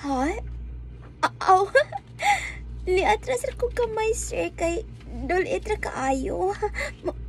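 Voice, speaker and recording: High-pitched, giggly, naive young nurse. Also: female voice, expressive, full character voice. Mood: flustered, coy. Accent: Cebuano Filipino. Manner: coy, giggly, drawn-out exclamations. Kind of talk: Bisaya comedy radio drama acting.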